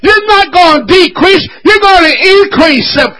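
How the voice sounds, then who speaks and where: A man preaching an impassioned sermon in church, shouting with his voice breaking from emotion. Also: extremely high pitch, animated, measured pace, rough recording. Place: church service.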